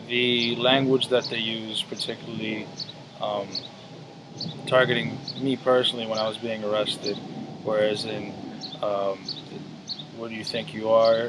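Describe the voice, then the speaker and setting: Man in his twenties, interview guest, measured and hesitant, lowish voice, steady room noise. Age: 20s. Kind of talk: casual interview answers.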